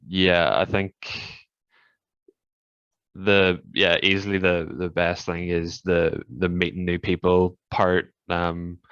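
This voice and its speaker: A young man talks in a relaxed way with halting restarts. His voice is very deep and almost flat. The sound is dry and dull, as from a lo-fi call. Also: clean tone, no echo. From Northern Ireland. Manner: relaxed, hesitant starts. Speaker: student panelist. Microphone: video call.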